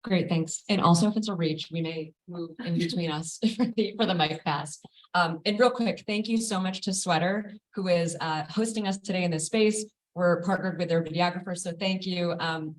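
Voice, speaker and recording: Lady moderating a panel at a live event, lowish voice, chatty intros. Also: rough recording. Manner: friendly, conversational introductions. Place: event space with a live audience.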